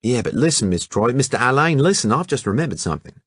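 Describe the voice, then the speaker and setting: A man doing a loud, brash Aussie lad. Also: mid-range voice, sing-song, fast, husky, no echo, pitched above the performer's own voice. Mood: eager. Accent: Australian. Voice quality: broad colloquial slang. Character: brash young male Australian art student.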